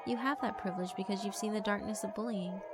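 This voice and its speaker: A woman's voice: mid-range voice, steady tone, fast talker, background noise, lightly acted. A woman voicing a sweet, persuasive female figure who praises the bullies' cruelty. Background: background music.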